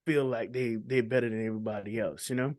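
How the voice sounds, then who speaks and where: A man's voice: medium pitch, steady tone, fast, a little mellow, no room sound, studio quality. A man speaking as a dancehall artist in an interview, talking casually with many ums and restarts.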